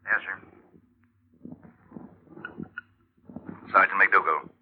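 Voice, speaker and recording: An actor playing a gruff, skeptical police sergeant with a questioning manner in an old radio drama. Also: male voice, deep voice, steady tone, fairly quick, a little hiss or hum, lightly acted. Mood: gruff, routine. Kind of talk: 1950s radio drama acting. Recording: muffled old radio recording.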